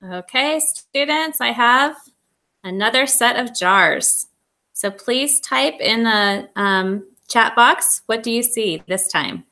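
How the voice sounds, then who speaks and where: A woman's voice: a little high, animated, slow, pushed and bright. A woman running a science demonstration for students, giving short, simple instructions. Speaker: guest demonstrator.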